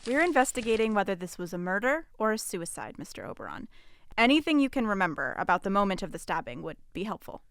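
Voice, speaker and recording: A wry female detective acted by a woman in an audio drama. She has a smooth voice with very mobile pitch, and she questions with dry sarcasm and tired persistence. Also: big pitch swings, fast talker, a bit bright, no echo. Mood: probing, firm.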